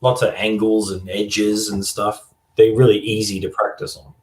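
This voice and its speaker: An Australian man with a low voice, heard with noticeable room echo. He talks informally and conversationally, as part of a casual panel of judges. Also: little pitch movement, moderate pace, a little mellow. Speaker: contest judge. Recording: roomy, echoing microphone sound.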